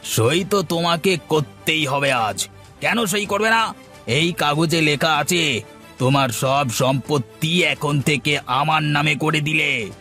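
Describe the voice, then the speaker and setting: A man's voice: fairly high pitch, slow, pushed and bright, faint music behind, heavily acted. A man playing a resentful, complaining young younger son in a fairy-tale cartoon. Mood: demanding. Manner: sulky, complaining.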